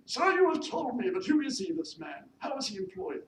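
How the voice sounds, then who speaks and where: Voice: medium pitch, sing-song, brisk, pitched above the performer's own voice, close to an everyday voice. A reader voicing a haughty, peevish widow of the minor gentry who scolds in formal, bossy speech, as in a period novel. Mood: indignant, scolding. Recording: noisy echoey room, distant mic.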